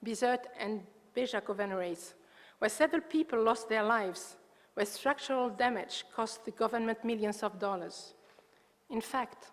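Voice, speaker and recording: A mature woman delivers a prepared speech at a conference. Her voice sits fairly high, and she sets out each phrase in a measured, earnest, formal way. The large hall adds a long reverberant tail to her words. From Tunisia. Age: 50s or older. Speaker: water agency coordinator, conference speaker.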